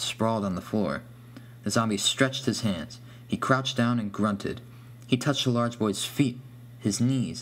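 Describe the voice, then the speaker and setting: A man reading a scripted first-person horror story as its narrator. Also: fairly low pitch.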